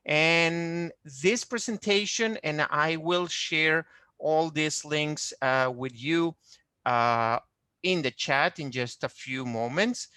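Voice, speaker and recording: A man's voice: on the high side, slow, sharp tone, smooth, no room sound. A man from Venezuela with a Venezuelan Spanish accent, giving an online genealogy lecture with many hesitations and fillers. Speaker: genealogist presenting a webinar. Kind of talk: online lecture with shared screen.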